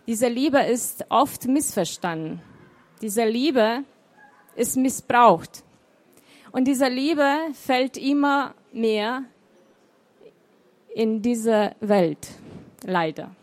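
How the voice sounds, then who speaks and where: A middle-aged woman whose first language is Romanian, with a Romanian accent, reading her poems in a deliberate, emotional way. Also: fairly high pitch, no echo. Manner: slow, deliberate, emotional recitation. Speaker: poet and author. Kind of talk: poetry reading.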